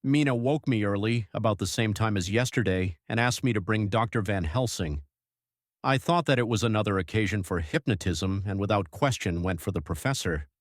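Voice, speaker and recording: A man playing a grave, devoted young husband who narrates his journal in an audiobook, measured and reflective. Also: on the low side, no echo, clean studio sound, natural voice. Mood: weary, reflective.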